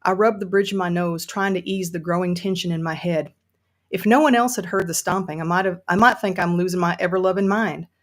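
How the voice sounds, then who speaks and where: A woman's voice: fairly low pitch, fairly even intonation, fairly quick, natural voice. A woman playing a seething middle-aged Southern woman, reading first-person fiction with sardonic emphasis and a Southern US accent. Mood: frustrated, seething. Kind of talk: dramatic first-person audiobook-style reading.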